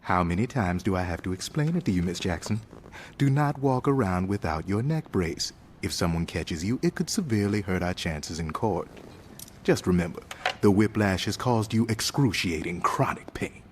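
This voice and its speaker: An actor playing a crooked, patronising injury lawyer in a video game, formal and smooth-talking. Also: male voice, low-pitched, expressive, moderate pace, a little hiss or hum. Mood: stern.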